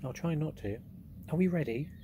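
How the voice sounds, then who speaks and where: A man's voice: a little high, animated, normal speed, warm tone, a little hiss or hum, voice pushed up. A man voicing a small, polite, earnest young girl in a storybook reading.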